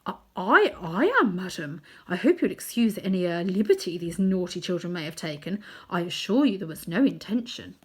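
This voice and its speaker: A woman reading aloud, voicing a nervous, fawning old gentleman magician who stammers and gasps. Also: fairly low pitch, expressive, moderate pace, few pauses, breathy edge. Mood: flustered fawning. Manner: stammering, gasping, obsequious.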